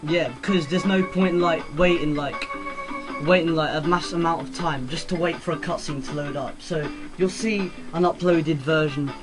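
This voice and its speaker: A man's voice: on the high side, moderate pace, low-quality recording. A man giving casual Let's Play commentary as a co-host, explaining things in a rambling, repetitive way. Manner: rambling, repeats phrases as explaining. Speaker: gaming co-host. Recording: loud game music behind the voice.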